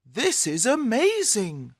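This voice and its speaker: A woman plays a greedy, demanding storybook brother. The voice leaps high and loud, insisting and exclaiming with big swings, slow and deliberate. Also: wildly swooping pitch, husky, no echo, clean studio sound, full character voice. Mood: amazed, greedy. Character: Kasim, greedy demanding brother, grown man. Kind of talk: storybook character voice.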